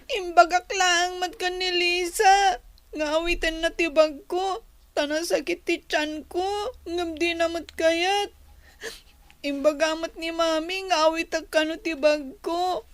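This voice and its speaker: A woman's voice: very high-pitched, fairly even intonation, measured pace, no room sound. A woman voicing a hurt, tearful little schoolgirl in a radio drama, whining and plaintive as she complains. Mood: tearful, complaining.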